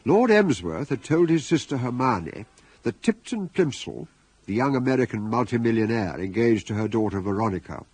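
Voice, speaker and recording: A man's voice: mid-range voice, darkish tone, breathy edge, no echo. A man, announcing and narrating a scripted radio comedy serial.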